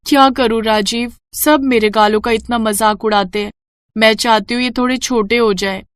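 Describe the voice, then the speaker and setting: A woman's voice: on the high side, steady tone, moderate pace, no echo, clean studio sound, lightly acted. A woman voicing a meek young daughter-in-law in a cartoon story, polite and plaintive.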